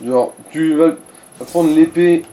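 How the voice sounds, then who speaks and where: A man's voice: on the high side, slow, warm tone. A man chatting casually over a video game, explaining and advising the player. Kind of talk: casual chat over gameplay. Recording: echoey room, game music behind.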